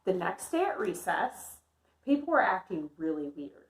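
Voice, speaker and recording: Echoey, lady reading as an eager nervous little schoolgirl from a storybook, real animated and emphatic. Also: on the low side, moderate pace. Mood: earnest. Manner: expressive, emphatic. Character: eager, nervous schoolgirl Amelia narrating her own story. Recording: echoey room sound.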